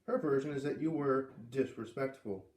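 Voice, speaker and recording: A man voicing a calm, firm father in a storybook, with a measured, questioning manner. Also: mid-range voice, echoey room, distant mic, lightly acted.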